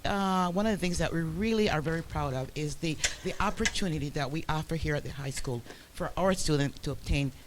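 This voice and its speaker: A man with a fairly high voice presents to a school board in a formal, halting way, pausing often on fillers. The recording is noisy and picked up by a meeting-room microphone. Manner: many fillers and restarts. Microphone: room microphone, quiet for listeners.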